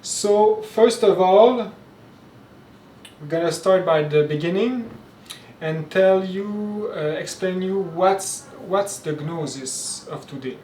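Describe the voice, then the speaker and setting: A man from Quebec, a native French speaker with a Québécois French accent, gives an informal spoken lecture with halting speech, many fillers and restarts. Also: high-pitched, dark tone, slight background noise. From Quebec, Canada. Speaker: spiritual teacher. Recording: roomy echo, mic at a distance, faint ambience.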